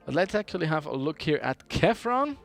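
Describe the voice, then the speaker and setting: A man's voice: fairly high pitch, big pitch swings, fairly quick, gravelly. A man commentating an esports game in a casual, conversational way with frequent hesitations. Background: background music.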